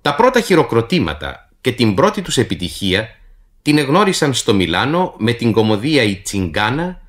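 Man with a very lively radio narration. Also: mid-range voice. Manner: formal, measured literary delivery. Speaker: radio announcer introducing a play. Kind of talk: scripted narration for radio theatre.

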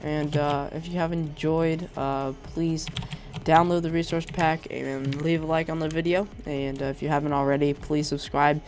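A man's voice: a little high, little pitch movement, normal speed, few pauses, a little hiss or hum. Sick young man rambling through gameplay. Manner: rambling, hesitant, many ums and restarts. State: sick, sore throat. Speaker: Minecraft YouTuber. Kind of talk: casual gameplay showcase commentary.

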